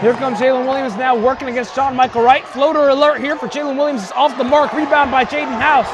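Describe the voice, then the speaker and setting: A young man does live basketball play-by-play for radio, with a very high, raised voice. He projects excitedly and often shouts his calls. A loud crowd and gym noise fill the space behind him. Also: quick pace. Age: young adult. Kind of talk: live radio sports commentary. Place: courtside in a basketball arena. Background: crowd, bouncing ball, gym noise.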